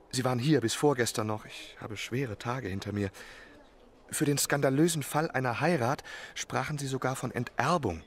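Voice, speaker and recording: An actor in a German radio drama playing a lovesick, spoiled young French marquis who talks in an affected, confiding way. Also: male voice, mid-range voice, lightly acted. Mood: troubled. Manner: affected, florid, confiding speech.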